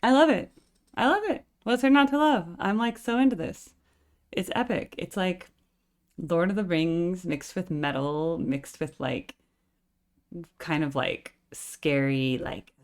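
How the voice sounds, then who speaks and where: Woman in her forties hosting a reaction channel: a low, full, operatically trained voice and loose chatter studded with "like". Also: measured pace, a little mellow. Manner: chatty, constant filler "like". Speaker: music reaction channel host. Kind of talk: casual reaction chat.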